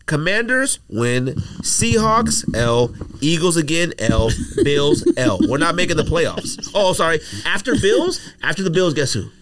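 A man's voice: on the high side, big pitch swings, unhurried, hardly any pauses, crowd noise. A man hosting a casual sports podcast, blunt and dismissive in his trash talk. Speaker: podcast host.

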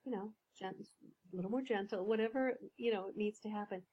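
A woman coach, a podcast guest, explaining ideas in a measured way with some fillers. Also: medium pitch, a little mellow, husky, dull audio. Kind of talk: podcast interview.

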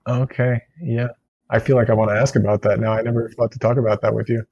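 A man's voice: medium pitch, little pitch movement, fast talker, a little mellow, breathy edge, dull audio. A man hosting a podcast interview from a hotel room, speaking through a Bluetooth headset mic. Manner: hesitant, restarts questions. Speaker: podcast host. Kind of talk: interview conversation.

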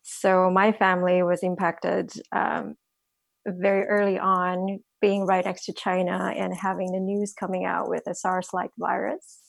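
A woman from Taiwan answering interview questions hesitantly, with many pauses and self-corrections. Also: lowish voice, level tone, gravelly, no room sound. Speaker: guest, financial planner.

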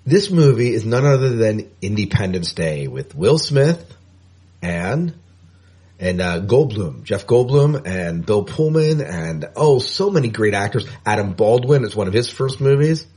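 Husky, lively male podcast co-host. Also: on the low side, a little slow. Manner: chatty, self-correcting. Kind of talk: casual podcast banter.

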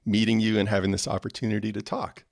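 Low-key man, creaky, closely recorded. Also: level tone, quick pace, dry recording, clean studio sound. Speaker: podcast host, interviewer. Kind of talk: casual conversational interview.

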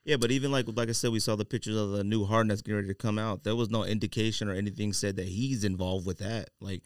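A man's voice: on the low side, steady tone, fast talker, smooth, dry recording, clean studio sound. A man hosting a podcast, talking sports and sneakers in a conversational, opinionated, slangy way. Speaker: podcast host. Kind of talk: casual sports and sneaker chat.

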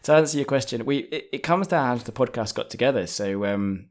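A man's voice: medium pitch, lively intonation, a little fast. An English man in his thirties, a podcast guest chatting casually, laughing often and restarting his sentences. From England. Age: 30s. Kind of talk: casual interview chat.

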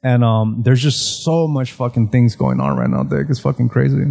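A man's voice: mid-range voice, sing-song, normal speed, vocal fry, mellow. A man co-hosting a podcast in casual chat, swearing a lot and calling people bro. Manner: profane, says bro a lot. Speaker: podcast co-host.

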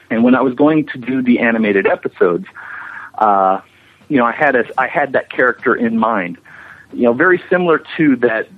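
A middle-aged man chatting casually as an interview guest, rambling along with self-deprecating jokes. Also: mid-range voice. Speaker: interview guest, special effects animator. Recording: narrow-band phone or internet call.

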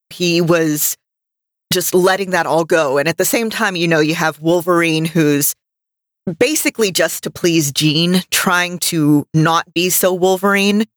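Female podcast co-host, thirties, lowish voice, unhurried and reflective, halting phrasing, casual discussion. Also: dry recording, studio quality.

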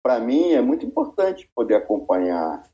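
Casting note: man in his sixties, podcast guest, mellow dark voice, meandering delivery, lo-fi sound. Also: medium pitch, big pitch swings, normal speed. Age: 60s. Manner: rambling, many restarts and fillers. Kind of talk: casual chat.

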